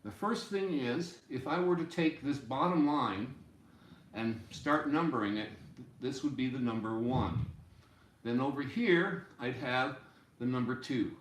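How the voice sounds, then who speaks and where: Slow-talking older man teaching, roomy sound. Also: medium pitch, expressive. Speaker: music teacher. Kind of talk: informal instructional explanation at a whiteboard. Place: small cluttered indoor music room. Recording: roomy, echoey home room sound.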